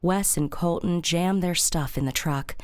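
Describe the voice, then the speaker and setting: A woman reading scripted audio drama narration in a measured, matter-of-fact way. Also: lowish voice, noisy background.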